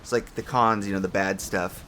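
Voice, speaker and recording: A man's voice: fairly low pitch, fairly even intonation, moderate pace, sharp tone, slight background noise. A young man just out of bed giving casual, rambling commentary as a show host, swearing, restarting sentences and trailing into fillers. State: voice sounds a little off from just waking up. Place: home room with a fan.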